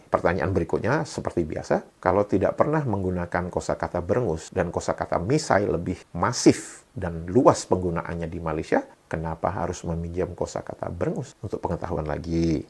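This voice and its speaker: Deep, gravelly Indonesian man. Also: darkish tone. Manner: rhetorical questioning, addresses viewers directly. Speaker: video host and commentator. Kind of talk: casual explanatory commentary to camera.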